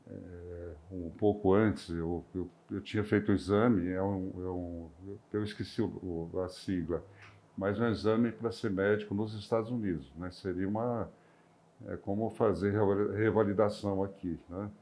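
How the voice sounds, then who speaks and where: An older retired male physician with a rural São Paulo interior accent, recalling his career with many hesitations and restarts. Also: darkish tone. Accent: rural São Paulo interior, near Minas Gerais. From interior of São Paulo state, Brazil. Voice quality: deep voice. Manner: hesitant, with frequent restarts and filler sounds. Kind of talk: reflective personal recollection.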